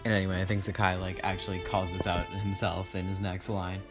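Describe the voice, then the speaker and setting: A man's voice: sits low, flat delivery, a little fast. Man narrating casually, music behind. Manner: informal, sweary, joking asides. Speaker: voiceover reader. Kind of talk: story narration with casual commentary.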